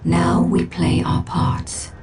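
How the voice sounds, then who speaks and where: An ancient-minded alien prophet from a sci-fi game, fatalistic and measured. The voice is haughty and cool, slightly away from the mic with faint music behind. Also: mid-range voice, a little mellow, far from the mic. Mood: cool, knowing. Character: wise, cold alien elf seer, measured and fatalistic. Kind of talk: dramatic science fiction game acting.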